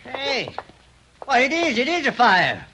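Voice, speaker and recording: An actor playing a folksy, excitable male country storekeeper who stammers, in 1940s radio style. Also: very high-pitched, unhurried, exaggerated voice. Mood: alarmed. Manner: folksy, stammering, repeats words. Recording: noisy, crackly old radio recording.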